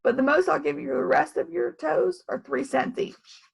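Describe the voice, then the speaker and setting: A woman's voice: sits high, normal speed, creaky. A woman voicing a teasing, persuasive male teacher who haggles in a storybook. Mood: haggling.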